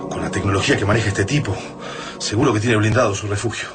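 A man's voice: lowish voice, fast talker, very noisy, close to an everyday voice. A man acting a cautious, resolute resistance fighter in a radio drama, with a Rioplatense Argentine accent. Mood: wary, urgent. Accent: Rioplatense Argentine Spanish. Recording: loud music bed behind dialogue.

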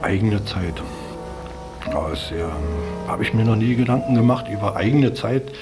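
A man with a lowish voice answers off the cuff in an interview. He thinks aloud in halting fragments, with music playing behind him. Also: lively intonation. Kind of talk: spontaneous interview talk.

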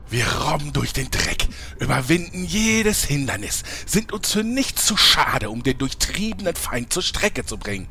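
A man's voice: on the high side, big pitch swings, measured pace, few pauses, pushed and bright, slight background noise, full character voice. A man playing an oblivious, self-important eccentric gamer in a comedy audio play, with a pompous, theatrical delivery. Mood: earnest enthusiasm.

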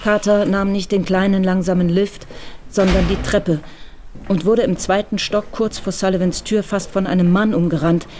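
A woman's voice: medium pitch, fairly even intonation, moderate pace, a little mellow, slight background noise. A woman reading scripted literary narration as the narrator of a radio crime drama.

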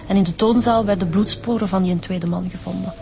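A woman's voice: mid-range voice, fairly even intonation, creaky, over music, lightly acted. Woman playing a level-headed Flemish detective. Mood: businesslike. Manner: matter-of-fact, measured. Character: level-headed, businesslike female police detective. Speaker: actress in a police radio serial. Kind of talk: radio drama acting.

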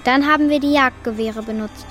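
A woman's voice: high voice, a little fast, fairly bright tone, soft background music. A woman acting in a radio drama, giving testimony as a matter-of-fact police officer.

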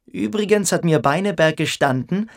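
A smug boarding-school boy in a radio drama, with a fairly high voice. He schemes with amused cynicism and mocks the others as he talks. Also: animated, moderate pace, vocal fry. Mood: amused, scheming. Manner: mocking, smug. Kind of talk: radio play acting.

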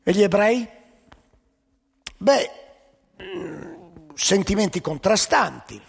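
A man telling jokes and stories on stage in a lively, dramatic way. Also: high voice, slow pace, creaky, breathy edge. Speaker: stage storyteller. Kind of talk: theatrical storytelling with jokes. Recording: live stage show in a reverberant hall.

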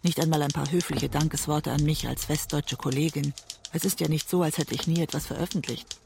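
West German woman writer, obsessive love story, audio drama narrator, creaky voice, measured, introspective, noisy background. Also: deep voice, steady tone. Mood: wounded, questioning.

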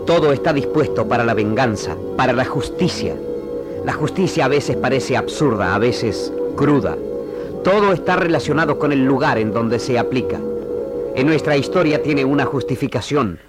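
A man's voice: mid-range voice, expressive, normal speed. A man narrating an old radio serial in a solemn, declamatory way. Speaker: announcer and narrator. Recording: old radio archive with loud music.

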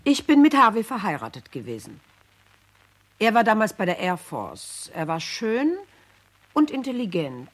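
A divorced ex-wife recalls her former husband as testimony in a radio play. The voice is breathy and medium in pitch. Her delivery is measured yet melodically varied. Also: big pitch swings. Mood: fond. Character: divorced ex-wife giving testimony, once proud of him.

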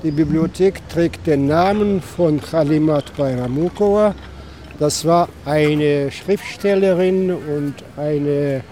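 A man, an Abaza speaker, gives unscripted explanations as a local guide. He speaks slowly and haltingly, searching for words, with faint traffic noise outdoors around him. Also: a little high, warm tone.

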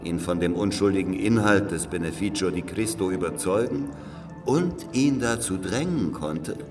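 Very deep-voiced, grave old spy. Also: male voice, animated, moderate pace, recorded from a distance, music in the background. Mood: confiding, deliberate. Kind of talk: German historical radio drama acting.

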